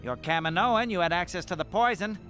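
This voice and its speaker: An actor playing an accusing, hard-boiled noir detective in an animated series. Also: male voice, sits high, a little fast, faint music behind.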